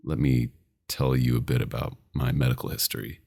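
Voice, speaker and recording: A man playing a dominant, possessive vampire doctor in an ASMR roleplay, intimate and teasing with a smooth menace. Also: little pitch movement, brisk, a little mellow, exaggerated voice. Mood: possessive, menacing. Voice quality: very deep, gravelly.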